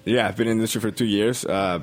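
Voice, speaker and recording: A man's voice: lowish voice, fairly even intonation, quick pace. A young man from Colombia with a Colombian Spanish accent, a bartender guest chatting hesitantly with many ums. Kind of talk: casual interview chat.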